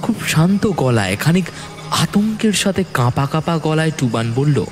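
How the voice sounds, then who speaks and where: A man's voice: on the high side, sing-song, normal speed. A man narrating a scripted horror story in a dramatic storytelling style. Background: music.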